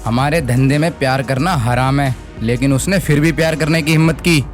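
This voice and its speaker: A man acts out a threatening, boastful gang henchman in a cartoon melodrama. His voice is mid-pitched, with faint music underneath. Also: normal speed, lightly acted. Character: menacing, boastful male gangster henchman. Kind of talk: animated story villain acting. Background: background music.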